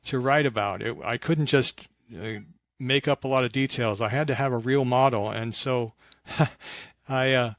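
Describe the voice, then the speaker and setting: Mature male, mid-range voice, reflective conversational storyteller for an interview, halting phrasing, dry recording. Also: mid-range voice, dry recording. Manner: halting and reflective, animated when acting out remembered dialogue. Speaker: podcast guest, author of fiction.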